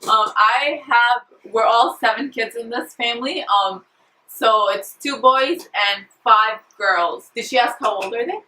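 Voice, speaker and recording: A woman's voice: a little high, unhurried, pushed and bright, echoey room, far from the mic, low-quality recording. A woman in her twenties, a beauty vlogger and makeup artist, chatting casually and jokingly while answering viewer questions at home. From Afghan and Syrian family. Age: 20s. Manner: giggly, jokey, chatty with many fillers. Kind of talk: casual Q&A chat to camera.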